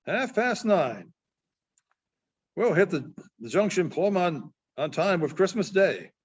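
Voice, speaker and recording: A man reads the part of a gruff but kindly frontiersman in a storybook tale. He is plain-spoken and quietly commanding, with a varied, lively pitch. The recording sounds a touch dull. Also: slow pace, exaggerated voice.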